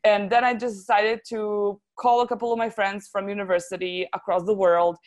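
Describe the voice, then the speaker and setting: A woman's voice: mid-range voice, little pitch movement, moderate pace. Serbian-accented woman chatting informally. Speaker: interview guest, translation agency founder. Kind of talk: casual interview conversation.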